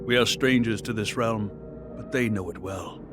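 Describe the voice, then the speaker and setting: A man playing a measured soldier with music. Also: fairly low pitch, close to an everyday voice. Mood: calm.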